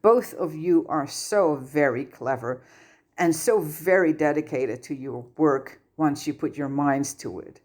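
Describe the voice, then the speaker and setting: A gentle, patient wizard schoolmaster in a storybook reading, voiced by a woman. He is a grown man who guides kindly and holds firm. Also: sits low, a little slow. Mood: gentle, sympathetic. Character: kindly, firm adult male wizard teacher and mentor.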